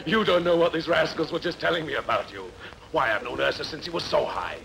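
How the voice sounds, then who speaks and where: Fast-talking hearty rebel, acted by a man. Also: fairly high pitch, creaky, fairly bright tone. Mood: amused. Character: hearty, loyal rebel tavern keeper. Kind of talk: dubbed costume-adventure film acting. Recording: noisy old film soundtrack.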